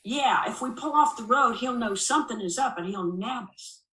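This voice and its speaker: A woman's voice: fairly high pitch, moderate pace, fairly bright tone, recorded from a distance, voice pushed up, close to an everyday voice. An impatient, bossy man acted out by a woman reading a story pushes hard with urgent exasperation. The voice is raised and emphatic. The room echoes around it. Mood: exasperated. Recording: roomy, echoing voice recording.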